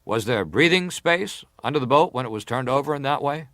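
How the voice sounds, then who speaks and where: A man's voice: medium pitch, expressive, fairly bright tone, no room sound. Formal, probing male senator in dry sound. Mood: insistent, methodical. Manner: probing, deliberate, formal questioning. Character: male senator, persistent formal inquiry questioner. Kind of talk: dramatized reading of hearing testimony.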